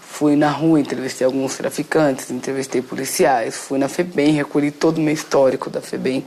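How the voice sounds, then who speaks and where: A woman giving reflective, halting interview testimony, often restarting her words. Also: extremely low pitch, fairly even intonation, a little mellow. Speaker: interviewee, author. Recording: noisy background.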